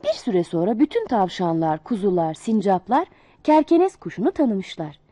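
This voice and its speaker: A woman reading a children's fable aloud in an expressive, sing-song storybook manner. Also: mid-range voice, moderate pace, no room sound.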